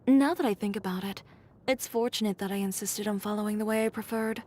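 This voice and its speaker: A woman's voice: mid-range voice, a little fast, a little mellow, close to an everyday voice. A woman voicing a blunt, haughty young fashion designer in a video game, speaking in a curt, dry and self-assured way. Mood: reflective. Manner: curt, dry, matter-of-fact, coolly condescending. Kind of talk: scripted game character voice lines.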